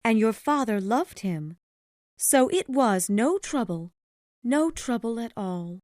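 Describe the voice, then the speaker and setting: A woman voicing a gentle, careworn mother who speaks softly and tenderly while putting on brave cheer in a storybook reading. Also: on the high side, expressive, slow, no room sound, studio quality, voice pushed up. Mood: soft sorrow.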